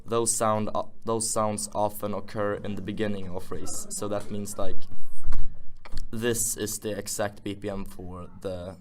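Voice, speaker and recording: A man narrating an unscripted software tutorial, thinking aloud in a rambling way as he demonstrates. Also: fairly low pitch, little pitch movement, a little slow, a little hiss or hum. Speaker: music production tutor, host.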